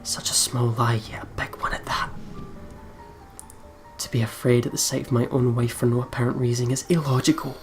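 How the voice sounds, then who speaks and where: A man reading visual novel narration aloud as a let's player, dramatically, dropping to a hush at phrase ends. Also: mid-range voice, level tone, fairly quick, soft background music.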